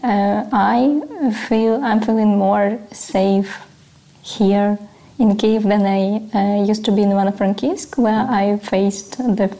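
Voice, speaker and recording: A woman's voice: medium pitch, steady tone, slow pace, dark tone. A woman from Ukraine with a Ukrainian accent, hosting a talk interview in hesitant, piecemeal phrases. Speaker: interviewer, host.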